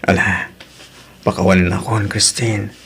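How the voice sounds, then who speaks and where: Husky Ilocano male suitor played by an actor. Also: fairly low pitch, flat delivery, moderate pace, a bit bright, exaggerated voice. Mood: apologetic. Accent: Filipino, Ilocano. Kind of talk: audio drama acting.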